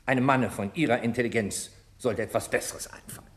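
An actor playing a haughty, sarcastic public prosecutor in a radio crime play, speaking with biting irony and pointed rhetorical pauses. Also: male voice, mid-range voice, close to an everyday voice. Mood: mocking. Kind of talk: German radio crime play acting, courtroom oratory.

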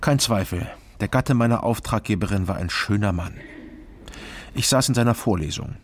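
An actor playing a world-weary private detective, narrating a radio crime drama in a dry, matter-of-fact way. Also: male voice, lowish voice, normal speed, slight background noise, close to an everyday voice. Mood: dry, observant. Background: music bed.

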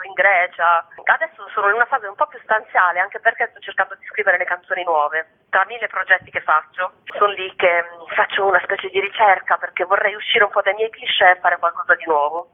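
Female singer-songwriter guest, casual and chatty, thin telephone-quality radio line. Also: medium pitch. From Bari, southern Italy. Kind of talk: casual spoken interview. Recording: narrow phone-like radio line.

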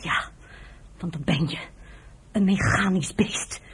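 A woman's voice: fairly low pitch, slow pace, slightly rough, exaggerated voice. An actress playing a weary, bitter woman who nurses the man she loves, in a reproachful, emotional radio drama style. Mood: bitter, hurt.

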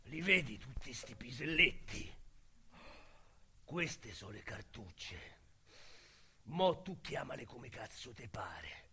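An actor with a Roman accent playing a young, boastful, foul-mouthed street criminal in a radio drama monologue, with coarse slang and a swaggering delivery. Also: male voice, medium pitch, gravelly, sharp tone, breathy edge, exaggerated voice. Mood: intense. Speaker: narrator and lead character.

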